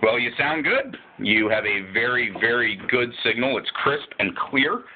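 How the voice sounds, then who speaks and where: A man talking as a ham radio operator, relaxed and easygoing, laughing now and then as he spells call signs phonetically. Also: medium pitch, normal speed, phone-quality sound, a little hiss or hum. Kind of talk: radio contact chat.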